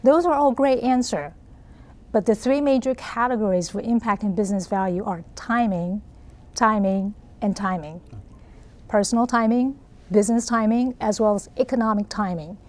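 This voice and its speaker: A woman's voice: medium pitch, moderate pace, a little mellow. A middle-aged woman from Taiwan with a Taiwanese accent, earnestly explaining her ideas as an interview guest. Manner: earnest, explanatory, frequent laughs. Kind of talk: interview answers.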